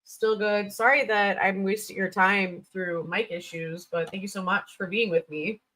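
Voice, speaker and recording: Bright-voiced woman hosting a casual livestream. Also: mid-range voice, fairly even intonation, normal speed, rough recording. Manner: informal, blunt, thinks aloud with little sing-song filler sounds. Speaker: livestream host reviewing resumes. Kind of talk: casual livestream critique, chatty and unscripted.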